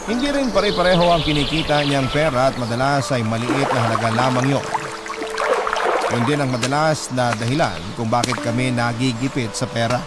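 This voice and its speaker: A man's voice: medium pitch, brisk. A man narrating a scripted first-person story in an earnest, reflective manner. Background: loud music.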